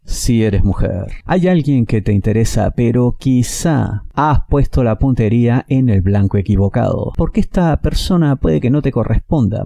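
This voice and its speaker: A middle-aged man presenting a horoscope to camera with deliberate, emphatic phrasing into a headset microphone. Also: on the low side, gravelly, dark tone, dry recording, clean studio sound. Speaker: horoscope presenter, tarot reader. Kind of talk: scripted-sounding horoscope reading to camera.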